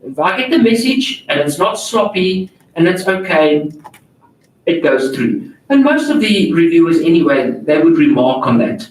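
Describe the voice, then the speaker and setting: Non-native English-speaking male editor, candid panel talk, slightly high, echoey hall. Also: sing-song, normal speed. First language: not English. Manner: candid, self-deprecating, moderating questions. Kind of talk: panel discussion answering audience questions. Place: large auditorium. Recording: echoey auditorium, distant mic, faint noise.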